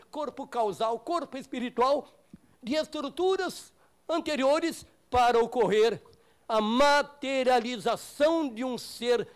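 An older man with a Brazilian accent giving an improvised health lecture, emphatic and halting, often repeating and rephrasing himself. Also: high-pitched, a little mellow. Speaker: lecturer, doctor. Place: meeting room with projector screen, indoors.

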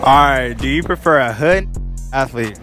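A man's voice: medium pitch, normal speed, over music. A young man conducting a casual street interview at a school, slangy and repeating "all right, look" and "you know what I'm saying," speaking into a phone. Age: young adult. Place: outdoors and in hallways at a high school. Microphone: phone held to the mouth.

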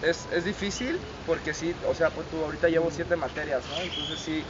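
A young man with a Mexican accent, talking in a relaxed, joking way. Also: on the high side, a little fast. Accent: Mexican Spanish. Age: young adult. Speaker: football player. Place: outdoors on a campus. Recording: dull lo-fi sound, loud outdoor noise.

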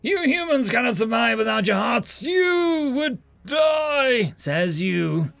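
Man doing a high shrill alien commander, gloating, shouting, drawn-out taunts, gravelly edge. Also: sing-song, soft background music, heavily acted. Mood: rattled.

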